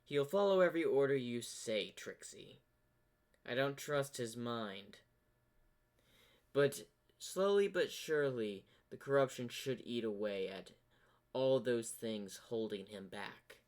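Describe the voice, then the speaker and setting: Guy doing an evil zebra boss for a cartoon fan story, slow and ominous, giving orders all menacing. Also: mid-range voice, expressive, darkish tone. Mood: scheming. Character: sinister corrupted male zebra, commanding, menacing dark mastermind.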